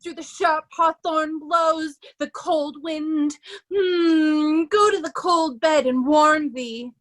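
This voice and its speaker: Very shrill raving mad beggar. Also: female voice. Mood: raving, tormented. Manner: wild, chanting, drawn-out nonsense. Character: Edgar feigning a raving mad beggar, Poor Tom. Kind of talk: amateur Shakespeare reading.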